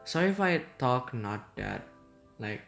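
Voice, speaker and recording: Man, relaxed game commentary, meandering and unhurried, medium pitch, soft music underneath. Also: creaky. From Indonesia. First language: Indonesian. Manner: rambling, easygoing, hesitant. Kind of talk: casual gameplay commentary. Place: at home.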